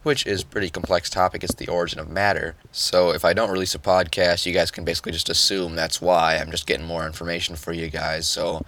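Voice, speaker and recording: A young man hosting a solo podcast, nasal and a bit hoarse after yelling the day before, explaining things casually with fillers. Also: deep voice, little pitch movement, fast talker, hardly any pauses, slight background noise. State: hoarse from yelling, just woke up. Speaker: podcast host.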